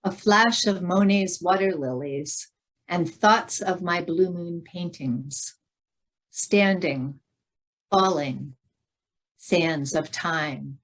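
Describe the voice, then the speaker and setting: A woman recites a poem in a somewhat low voice. Her pace is extremely slow and broken into short phrases. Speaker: reader of another poet's work.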